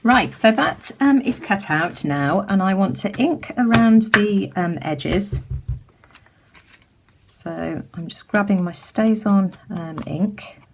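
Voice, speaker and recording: A middle-aged British woman with a slightly croaky voice, casually talking through a craft demonstration with lots of ums and restarts. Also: medium pitch, animated, slow pace, noisy background. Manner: chatty, many ums and restarts. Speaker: craft tutorial host. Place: home craft table.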